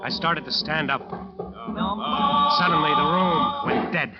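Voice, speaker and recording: Intense radio narrator, dull old recording. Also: male voice, fairly high pitch, sing-song, slow, creaky, over loud music, full character voice. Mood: horrified fascination. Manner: dramatic, intense storytelling. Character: driven, ambitious male jazz musician recounting his nightmare. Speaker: narrator and lead character. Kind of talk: 1940s-50s radio drama narration.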